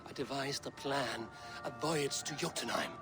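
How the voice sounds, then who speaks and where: An actor playing a solemn, intense Norse warrior in a cinematic video game, speaking in grave, deliberate, formal phrases. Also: male voice, medium pitch, natural voice. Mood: grave, resolute. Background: music.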